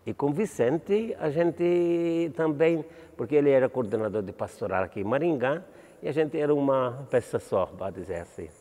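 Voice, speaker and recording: A man in his seventies from Malta, speaking with a Maltese accent, a priest answering interview questions in a halting way, restarting words and asking "entende?". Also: mid-range voice, expressive, mellow, in a large hall. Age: 70s.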